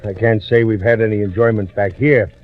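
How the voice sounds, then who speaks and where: A man acting as an older, self-important employer from a 1940s radio mystery. He is brisk and blustery, echoing his own phrases with authority. The vintage recording is a little dull. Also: lowish voice, fairly even intonation, lightly acted. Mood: blustery concern. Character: brisk, fussy, patronizing male boss, wealthy and older.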